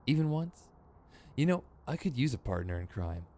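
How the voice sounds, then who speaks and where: A man's voice: mid-range voice, big pitch swings, moderate pace, dark tone. A man playing a theatrical, sardonic supervillain in a scripted ASMR roleplay, exasperated and smug, with mock menace and a grumbling, rambling streak.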